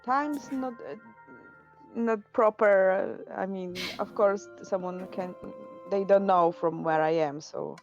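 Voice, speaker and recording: A woman from Poland with a Polish accent, talking slowly and haltingly with many restarts in casual chat on a game stream. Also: mid-range voice, soft background music. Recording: dull lo-fi stream audio.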